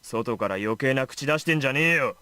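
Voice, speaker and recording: An actor playing a hot-tempered young knight in a visual novel, with rough, aggressive speech. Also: male voice, medium pitch, expressive, racing, sharp tone, dry recording. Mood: angry. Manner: aggressive, rough, slurred slang. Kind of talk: Japanese visual novel voice acting.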